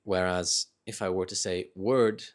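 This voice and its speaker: Deep-voiced man, Northern English with Dutch tinge. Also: fairly even intonation. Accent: Northern English with slight Dutch. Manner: hesitant, reflective, halting phrasing with self-corrections. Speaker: vlogger sharing reading thoughts. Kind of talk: casual unscripted monologue.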